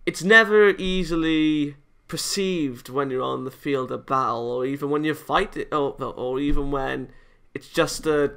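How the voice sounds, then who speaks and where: A man doing a let's play of a visual novel. His voice is bright with a gravelly creak, and he talks in an emphatic, rambling way as he reads and gives his opinions. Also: fairly high pitch, a little slow, hardly any pauses. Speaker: gaming video host. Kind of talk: visual novel let's play, reading aloud with off-the-cuff commentary.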